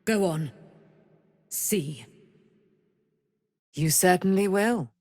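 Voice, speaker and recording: A righteous immortal warrior woman, born of a moon goddess, in theatrical fantasy game style. Her voice sits a little low and moves at a very measured, stately pace. The delivery is proud, formal and grandiose, captured close and dry. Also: animated, darkish tone, dry recording, full character voice. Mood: scornful, fierce.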